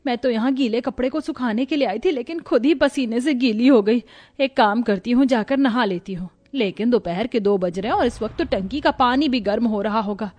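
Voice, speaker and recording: A woman's voice: a little high, brisk, a little mellow, lo-fi sound, lightly acted. A woman playing a naive, thrifty young village bride in a comic cartoon moral story. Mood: fretful.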